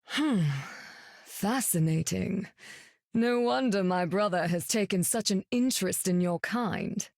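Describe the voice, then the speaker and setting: Warrior goddess, game character, medium pitch, stern, proud, formal archaic speech, dry recording. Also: female voice, measured pace, gravelly, a little mellow, no room sound. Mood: stern, resolute. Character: stern, proud warrior goddess, fierce protector of her sister. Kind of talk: video game character voice acting.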